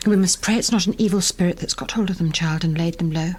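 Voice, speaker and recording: A grave, disapproving governess given to superstition, in a gothic drama, played by a woman. Her voice is fairly low and husky, with breath in it. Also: fast talker, darkish tone, natural voice. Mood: foreboding. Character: stern, superstitious lady governess. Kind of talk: gothic audio drama acting.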